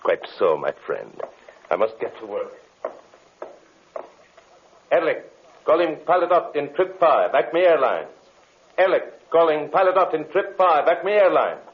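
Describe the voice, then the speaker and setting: An actor playing a ruthless, gloating mastermind in an old-time radio drama, deliberate, commanding and full of menace. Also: male voice, fairly high pitch, sing-song, husky, heavily acted. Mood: smug, gloating. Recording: dull, muffled old radio recording.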